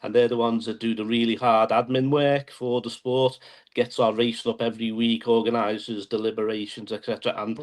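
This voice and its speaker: A man explaining things to a podcast in a measured way, often saying "obviously". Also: medium pitch. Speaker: guest. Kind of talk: casual podcast chat.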